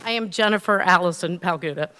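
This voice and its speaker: A woman in her fifties from Ohio giving halting, emotional public comment at a council meeting, with many pauses and ums. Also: on the low side, bright, forceful tone. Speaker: resident speaking at public hearing. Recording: reverberant council chamber.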